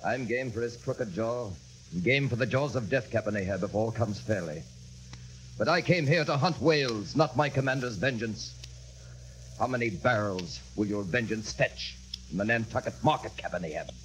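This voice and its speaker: An actor playing a principled, wary whaling first mate, speaking earnestly and formally in old radio drama style. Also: male voice, on the low side, lively intonation, normal speed, lightly acted, rough recording. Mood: restrained, dutiful. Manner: earnest, formal archaic speech. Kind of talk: mid-20th-century radio drama acting. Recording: old radio recording, faint hum.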